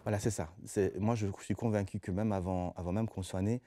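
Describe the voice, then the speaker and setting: A man's voice: fairly low pitch, fairly even intonation, brisk, mellow, no room sound, clean studio sound. A middle-aged man from Belgium, speaking conversationally as a pastor being interviewed, often hesitating and repeating words as he explains. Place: TV studio set.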